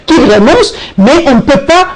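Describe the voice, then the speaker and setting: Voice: high voice, animated, normal speed, slight background noise, poor audio. A TV interviewer pressing a guest with direct questions.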